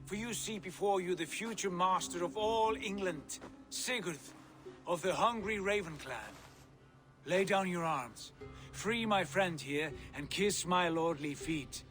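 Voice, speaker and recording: An actor playing a proud, ambitious Norse warlord in a video game, lordly and declamatory. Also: male voice, high voice, measured pace, fairly bright tone, soft background music. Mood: haughty. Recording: game dialogue over clear ambient noise.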